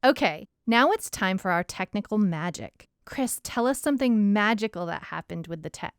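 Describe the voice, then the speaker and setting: A woman's voice: medium pitch, animated, normal speed, clean tone, dry recording, studio quality. A woman co-hosting a podcast, moving between presenting and conversation. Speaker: podcast co-host.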